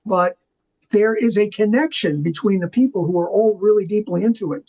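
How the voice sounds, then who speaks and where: A man's voice: sits high, brisk, mellow, rough recording. An older man talking casually as an interview guest, telling stories enthusiastically and wandering into asides. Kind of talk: casual chat, storytelling.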